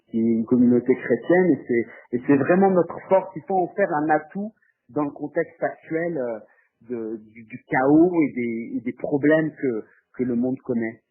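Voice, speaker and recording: Husky, halting Frenchman on a phone-like recording. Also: mid-range voice. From France. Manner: halting, hesitant, frequently repeats words while searching for phrasing. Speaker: documentary filmmaker being interviewed. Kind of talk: spontaneous interview answers. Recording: muffled, phone-like narrow band.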